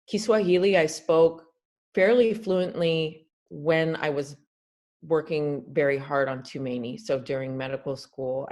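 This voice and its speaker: A woman's voice: sits low, darkish tone. Earnest woman doctor explaining on a video call. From Canada. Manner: earnest, explanatory, with frequent fillers. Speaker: guest doctor. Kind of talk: webinar interview answers.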